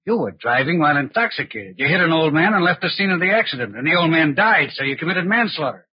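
A cool American blackmailer with a very husky, insinuating voice. He is sardonic and quietly menacing, in 1940s radio style. The sound is dull and dry. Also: on the high side, sing-song, quick pace, no room sound, full character voice. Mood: pressing, menacing. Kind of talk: 1940s radio drama acting. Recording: muffled old radio recording.

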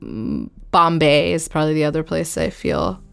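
Interview guest: woman from India, theatre director, low smooth voice, halting and self-deprecating. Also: sing-song, faint music behind. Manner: halting, self-deprecating, many fillers and laughs. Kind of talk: casual interview chat.

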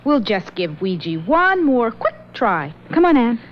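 A plucky young woman who can't leave the occult alone, her fairly high voice lively and rising with curiosity. She sounds earnest and quick to alarm. The old radio broadcast is dull and lo-fi. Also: slight background noise. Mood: curious. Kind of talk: 1970s radio drama acting.

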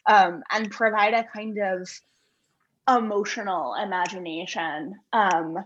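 A woman giving thoughtful interview answers in an earnest, halting way, repeating words as she reasons. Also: medium pitch, steady tone, a bit bright, muffled recording. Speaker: guest author, poet and essayist.

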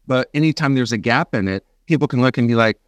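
A man's voice: mid-range voice, fast talker, no echo. A man hosting a casual interview as a fellow actor, supportive and agreeable in his replies.

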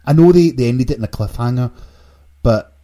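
A man's voice: medium pitch, a little fast, mellow. A man hosting a casual podcast, reading out news and giving his opinions in a dry, blunt way. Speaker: podcast host.

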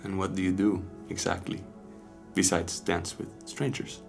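An actor playing a melancholy, awkward businessman with a hesitant, halting delivery in an intimate audio drama. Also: low voice, little pitch movement, vocal fry, heavily acted. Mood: awkward, subdued. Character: melancholy, awkward businessman confiding his past. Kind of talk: intimate audio fiction acting. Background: music.

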